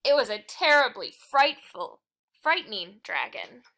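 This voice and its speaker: A woman reading a children's picture book aloud in an expressive storytelling style, with deliberate phrasing and dramatic emphasis. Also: on the high side, gravelly, slight background noise.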